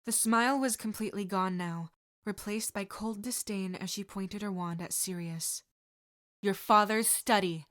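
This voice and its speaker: A woman narrating fan fiction as a podfic reader, in a calm, even reading voice. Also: medium pitch, normal speed.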